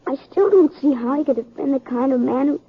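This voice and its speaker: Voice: high-pitched, brisk. A young performer playing an earnest, polite country boy in a 1950s radio western, speaking hesitantly. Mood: earnest, troubled. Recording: muffled old radio recording.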